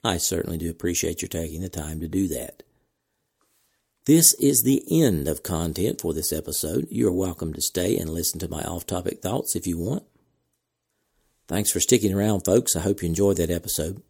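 A man hosting a podcast, reading announcements in a measured, deliberate way with casual asides, his voice cracking from a slight cold. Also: deep voice, vocal fry, mellow, breathy edge. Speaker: podcast host.

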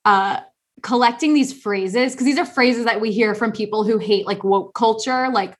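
A woman hosting a casual podcast chat, talking in an animated, rambling way with many restarts. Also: on the high side, normal speed. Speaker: podcast host.

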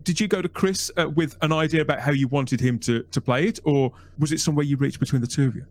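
A man's voice: a little high, fast, a little hiss or hum. A man hosting a podcast, asking long, winding interview questions with restarts. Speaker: podcast host.

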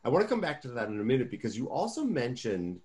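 Deliberate male interviewer. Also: medium pitch, very animated, darkish tone. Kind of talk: interview questions.

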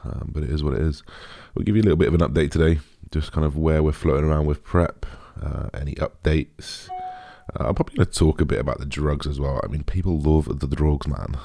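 A young British man with a deep, raspy voice, chatting casually as a podcast host in a rambling, informal way. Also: brisk, dark tone, dry recording. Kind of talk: casual solo podcast chat.

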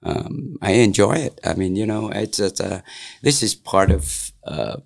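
Gravelly older man, Vietnamese accent. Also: fairly low pitch, lively intonation. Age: 60s. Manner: halting, many fillers and restarts. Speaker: interviewee, local business owner. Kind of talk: casual oral-history interview.

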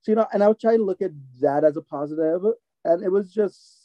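Male, Jamaican accent, higher voice, conversational and expressive storyteller, close dry but slightly dull sound. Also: fast talker, no room sound. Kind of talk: casual chat.

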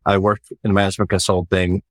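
A man, a startup founder answering interview questions in a relaxed, reflective way with many "you know" fillers. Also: deep voice, fairly quick, no echo, studio quality. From Sweden.

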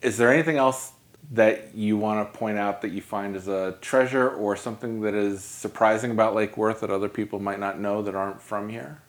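A man's voice: lowish voice, fairly even intonation, brisk. A man from New York hosting a podcast, chatting casually with a guest and dropping joking asides. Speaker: podcast host. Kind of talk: conversational interview.

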